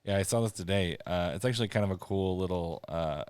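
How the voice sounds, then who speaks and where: A man's voice: sits low, brisk, a little mellow, clear, resonant tone, no room sound. A man co-hosting a casual sports podcast, wry and hesitant, with lots of fillers. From San Diego area. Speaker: podcast co-host.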